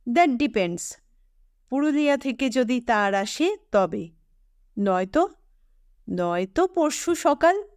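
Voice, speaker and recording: A woman's voice: on the high side, lively intonation, slow, pauses often, darkish tone, no echo, clean studio sound. A woman voicing an assured, matter-of-fact male forest officer in an audio story, dryly knowing. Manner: dropping English phrases, dryly knowing.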